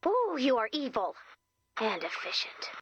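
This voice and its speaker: A woman's voice: sits high, very animated, a little slow, highs cut off, exaggerated voice. A woman voicing a quirky, chirpy and playful commander character in a video game. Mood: firm but playful.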